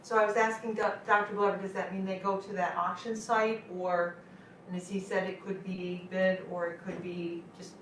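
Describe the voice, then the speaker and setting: A woman's voice: mid-range voice, little pitch movement, moderate pace, fairly bright tone. A woman chairing a school board meeting, asking plain questions. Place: meeting room. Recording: distant echoey room mic, faint noise.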